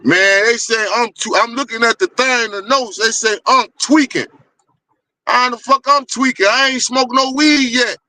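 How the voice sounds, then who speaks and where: A man, hosting a casual livestream and bragging in a loud, profane way. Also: very high-pitched, normal speed, no room sound. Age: 50s. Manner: boastful, profane, animated. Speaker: livestream host.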